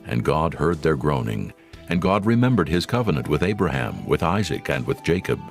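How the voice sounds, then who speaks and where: A man narrates scripture in a solemn, scripted manner. His voice is profoundly deep and creaky, with lively rises and falls. It suits a dramatized audio Bible.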